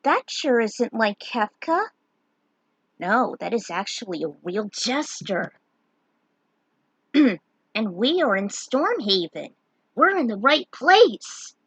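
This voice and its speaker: An earnest, road-weary fantasy hero voiced by a woman reading a story. The voice is lifted a little from plain talk and slightly husky. The recording is dry and close. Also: on the high side, sing-song, slow pace, fairly bright tone, no echo, exaggerated voice. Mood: relieved, weary. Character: weary but determined heroes of a fantasy quest.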